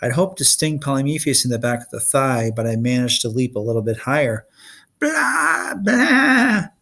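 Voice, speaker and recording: A man reading a children's fantasy novel aloud in expressive audiobook-style narration. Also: medium pitch, fast. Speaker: reader of a children's fantasy novel read-along.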